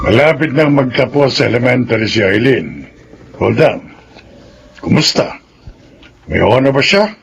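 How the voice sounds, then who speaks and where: A man playing a demanding, exasperated family elder in a Filipino radio drama, emphatic and scolding, with a husky voice. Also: medium pitch, animated, measured pace, pauses often, music in the background. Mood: stern inquiry.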